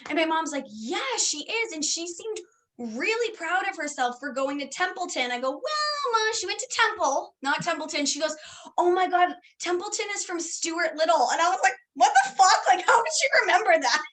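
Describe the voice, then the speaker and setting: A woman chatting casually and asking questions, giggly and expressive. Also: very high voice, moderate pace. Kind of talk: casual chat.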